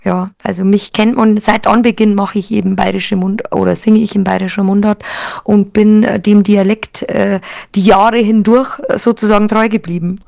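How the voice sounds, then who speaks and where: A young woman from Lower Bavaria with a Bavarian accent, a singer answering interview questions with many hesitations and fillers. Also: fairly even intonation, normal speed, gravelly, darkish tone, no echo. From Lower Bavaria, Germany. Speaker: Schlager singer, interview guest.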